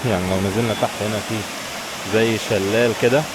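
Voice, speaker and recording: A man's voice: fairly low pitch, fairly even intonation, fast. A man with an Egyptian Arabic accent chatting casually and informally to viewers as a travel vlogger. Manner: informal, addresses viewers directly. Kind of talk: casual vlog chat to camera. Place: outdoors in town streets. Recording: clear outdoor street and traffic noise.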